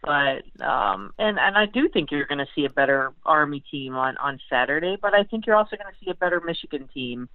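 A woman's voice: deep voice, expressive, fairly quick, hardly any pauses, no echo. A middle-aged woman talks sports casually as a guest reporter. She comes through a thin, tinny phone connection with little room sound. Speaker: sports beat writer, guest. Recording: tinny, band-limited phone line.

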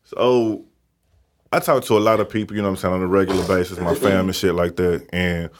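A man, talking loud, blunt and direct in a casual chat. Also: level tone, fairly quick, background noise. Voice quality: deep voice.

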